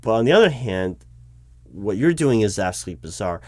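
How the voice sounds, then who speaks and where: A man playing an exasperated corporate hiring manager in a one-sided interview roleplay, talking in a rambling, halting way with stammers and self-corrections. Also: on the low side, very animated, darkish tone, no room sound. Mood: exasperated, hesitant. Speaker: roleplay performer. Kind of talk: improvised one-sided job interview roleplay, spoken aloud.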